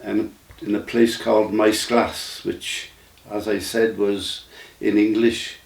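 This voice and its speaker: A man, recalling his memories in a casual, halting way with many restarts. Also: fairly low pitch, flat delivery, creaky. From Wales. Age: 90s. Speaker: interview guest. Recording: roomy sound from a distant mic.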